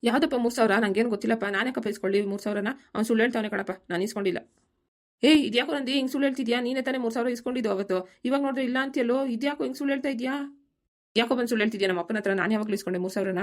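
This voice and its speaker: A woman's voice: on the high side, rapid-fire, natural voice. A woman playing an easygoing young village son in a cartoon family story, pleading and full of excuses. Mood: indignant protest.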